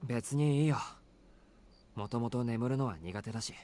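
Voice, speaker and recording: A calm, blunt teenage boy, voiced by a man who rattles off his lines at a very fast clip. His tone stays dry and matter-of-fact, in a middle register. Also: mid-range voice, natural voice. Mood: flat. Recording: game audio.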